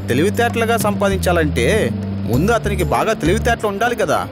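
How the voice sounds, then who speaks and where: A man's voice: sits high, big pitch swings, fast talker. A man voicing a fussy, easily panicked driver in a dubbed cartoon, always calling his boss sir. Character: jumpy talkative male driver. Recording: music bed under dialogue.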